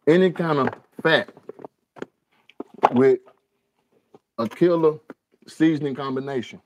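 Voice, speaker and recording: A man in his home kitchen hosts a live cooking stream, talking at an unhurried, drawn-out pace. He speaks to the viewers as y'all and says his lines again and again. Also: fairly high pitch, darkish tone, a little hiss or hum. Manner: drawling, talks to viewers as y'all, repeats lines. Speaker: cooking show host. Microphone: overhead camera on the skillet, speaker unseen.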